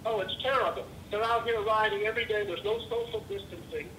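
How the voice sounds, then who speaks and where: A man's voice: high voice, brisk, pushed and bright, reverberant. A man from Los Angeles calling in to a talk show by phone, airing his views bluntly and insistently. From Los Angeles, United States. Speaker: phone-in caller. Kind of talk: opinionated call-in complaint. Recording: noisy telephone call to show.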